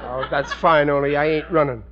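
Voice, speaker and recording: A man's voice: fairly high pitch, steady tone, a little fast, natural voice. Man as a plaintive American sitcom husband, noisy recording. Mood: self-pitying. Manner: plaintive, blustering, plain-spoken. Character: aggrieved, gullible working-class husband and father. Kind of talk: 1940s radio comedy acting. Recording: muffled, noisy old radio recording.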